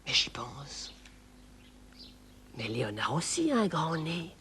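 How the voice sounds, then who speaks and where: A man's voice: a little high, big pitch swings, slow, sharp tone, slight background noise, heavily acted. A man playing a chatty narrator telling a story to children, playful, with rhetorical questions and repetitions. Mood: playful, confiding.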